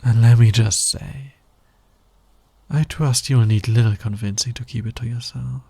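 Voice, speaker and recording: Man acting a slow, husky, flirtatious villain. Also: mid-range voice, steady tone, mellow, dry recording. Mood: sly teasing. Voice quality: breathy, husky, often dropping to a whisper. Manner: slow, teasing, deliberate delivery. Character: flirtatious, teasing male fantasy villain, dominant and sly. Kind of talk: intimate ASMR roleplay, romantic audio drama.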